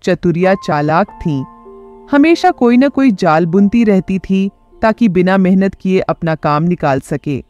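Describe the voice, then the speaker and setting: A narrator telling a children's moral story in a lively storytelling way. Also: mid-range voice, a little slow, muffled recording, soft background music.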